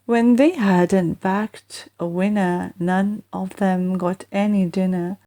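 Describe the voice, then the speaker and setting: Slow, theatrical woman storyteller. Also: medium pitch, steady tone, dark tone. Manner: theatrical, deliberate, emphatic. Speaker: audiobook reader. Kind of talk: expressive children's verse storytelling.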